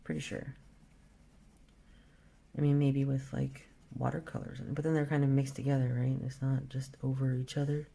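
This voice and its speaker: A woman's voice: extremely low pitch, moderate pace, creaky, breathy edge. A woman in her forties chatting casually while she draws, thinking aloud and trailing off mid-sentence. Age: 40s. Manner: relaxed, self-talk about the colors. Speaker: art vlogger. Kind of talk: casual chat while drawing.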